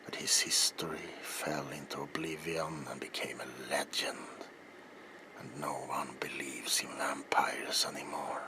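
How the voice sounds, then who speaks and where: A man playing a grave, weary, obsessed older vampire hunter in a theatrical ASMR roleplay, speaking deliberately and dropping into breathy, ominous whispers. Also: extremely low pitch, flat delivery, very noisy. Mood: solemn resolve. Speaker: character performer in roleplay.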